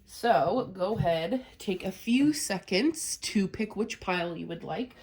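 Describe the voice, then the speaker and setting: A woman's voice: medium pitch, animated, unhurried, darkish tone. Congested woman reading tarot cards. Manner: chatty, rambling, many "you know" and "um" fillers. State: congested from a cold. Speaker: tarot reader. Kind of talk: casual tarot reading for viewers.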